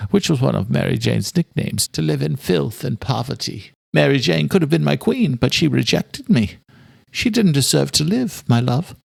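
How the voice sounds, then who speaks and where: A man's voice: mid-range voice, vocal fry, breathy edge, dry recording, heavily acted. A man voicing an obsessive, grandiose immortal killer declaiming a possessive love letter in a dramatic audiobook style. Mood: bitter, possessive. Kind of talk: dramatic audiobook villain monologue.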